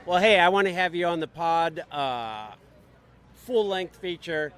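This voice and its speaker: A man's voice: on the high side, lively intonation, measured pace, a bit bright, smooth. A man hosting a podcast, doing casual roaming interviews with friendly, easygoing banter and holding a handheld mic. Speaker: podcast host. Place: outdoors at a bike ride event.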